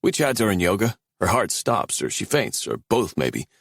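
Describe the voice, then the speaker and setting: A man's voice: lowish voice, very animated, normal speed, vocal fry, slightly rough, no echo, studio quality, voice pushed up, full character voice. Man doing talkative college friend, earnest, voice nudged up a little, lots of lift. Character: chatty, earnest young college guy.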